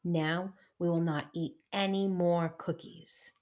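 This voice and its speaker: A woman's voice: fairly low pitch, fairly even intonation, slow pace, darkish tone. Woman reading as a sensible boy frog from a kids book, earnest and determined, explains things firmly.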